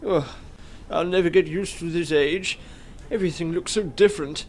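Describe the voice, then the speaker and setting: A man's voice: on the high side, normal speed, a little mellow, a little hiss or hum, lightly acted. A man voicing a boastful sixteenth-century knight who speaks in theatrical, archaic English in a radio play. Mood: bewildered. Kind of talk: amateur radio play acting.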